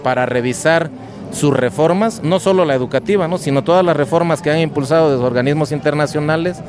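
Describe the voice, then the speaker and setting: A man's voice: a little high, over music. A man with a Mexican accent, a teacher giving an earnest interview at a street protest, speaking with many "eh" fillers. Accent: Mexican Spanish. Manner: earnest, measured, with frequent filler "eh" and "pues".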